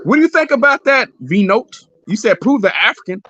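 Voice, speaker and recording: A man's voice: very high voice, very animated, brisk, bright, forceful tone, highs cut off, slight background noise. A man hosting a livestream, arguing heatedly and repeating himself, sometimes swearing. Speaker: livestream host. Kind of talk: heated casual debate.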